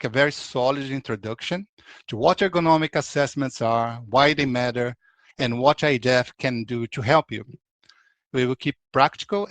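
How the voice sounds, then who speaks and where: A man's voice: mid-range voice, fairly bright tone, no room sound. A man in his forties from Brazil, speaking with a Brazilian accent as a consultant presenting a webinar in a conversational way, with frequent restarts.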